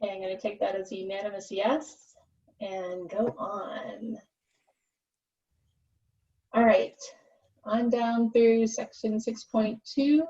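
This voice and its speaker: A woman's voice: fairly high pitch, warm tone. A woman chairing a committee conference call, running procedural discussion and votes. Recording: muffled, distant conference call audio.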